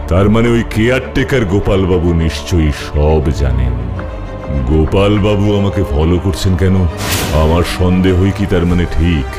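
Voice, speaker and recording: Cartoon hero detective, male actor: deep, firm, fearless, questioning; music prominent underneath. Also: natural voice. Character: calm fearless male superhero detective, commanding and inquisitive. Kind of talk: animated cartoon voice acting. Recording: loud background music.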